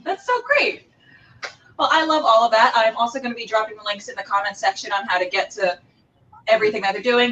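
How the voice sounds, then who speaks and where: A woman's voice: mid-range voice, a bit bright, far from the mic, lo-fi sound. A woman hosting a casual livestreamed show, chatting and making announcements in a gushing, effusive way. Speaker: show host.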